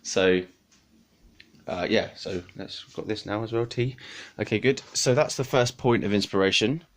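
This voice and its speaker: A man's voice: on the low side, moderate pace, a little hiss or hum. Rambling jokey man. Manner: jokey, digressive, swears, laughs at his own jokes. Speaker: musician and video maker. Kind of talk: rambling comic monologue to camera.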